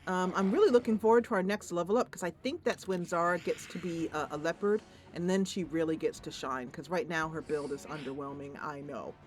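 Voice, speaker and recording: A woman hosting a gameplay channel, chatting casually and excitably as she comments on the game. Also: fairly low pitch, a little fast, hardly any pauses.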